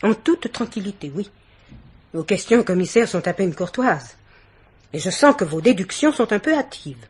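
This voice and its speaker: An actress playing a dignified, guarded middle-aged guardian aunt in a French radio mystery play, speaking with polite haughtiness. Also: female voice, mid-range voice, lively intonation, moderate pace, darkish tone, breathy edge. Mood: guarded, offended. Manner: polite, haughty, carefully articulated indignation.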